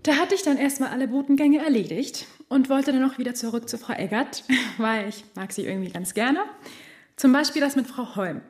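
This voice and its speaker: An actress playing a cheeky, chatty young office assistant in a radio drama. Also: female voice, sits high, brisk, a little mellow, a little hiss or hum, lightly acted. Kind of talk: radio drama, narrating and acting. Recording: radio drama with faint music.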